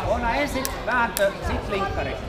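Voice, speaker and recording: A man coaching from beside the wrestling mat, barking short repeated commands. He is picked up by a distant camera in a big echoing sports hall, with loud crowd and hall noise around him. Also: very high voice, lively intonation. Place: large indoor sports hall. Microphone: far camera.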